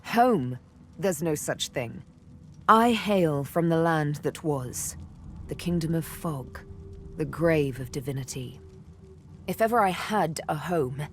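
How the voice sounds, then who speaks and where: A woman's voice: deep voice, a little hiss or hum. A woman voicing a sardonic, vengeful elf huntress for a video game, with arch, teasing, theatrical phrasing. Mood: bitter, wistful. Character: sardonic, vengeful female elf, former slave turned huntress. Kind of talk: video game character dialogue. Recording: background music under the voice.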